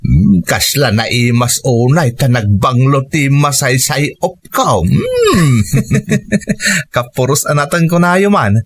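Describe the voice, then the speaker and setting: A man with a Filipino accent playing a dutiful farmer son who gently scolds his mother in a radio drama. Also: mid-range voice, sing-song, slow pace, slightly rough, exaggerated voice. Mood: eager, cheerful. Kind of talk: Ilocano radio drama acting.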